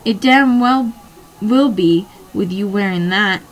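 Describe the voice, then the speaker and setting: A hoarse woman voicing a stern, disapproving mother who scolds loudly and demands answers. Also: medium pitch, takes it slowly, lightly acted, rough recording. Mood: scolding.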